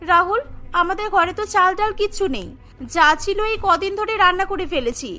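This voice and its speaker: A woman's voice: extremely high pitch, expressive, moderate pace, pushed and bright, soft background music, heavily acted. A woman voicing a kind, earnest young village wife in a cartoon, speaking expressively. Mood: worried. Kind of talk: cartoon story dialogue.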